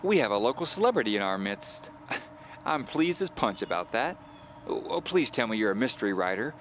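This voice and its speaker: A man's voice: lowish voice, big pitch swings, moderate pace, fairly bright tone, a little hiss or hum. A man voicing a cheerful, chatty, nosy woman of about seventy in an audio drama, with a folksy, fussing manner. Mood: delighted.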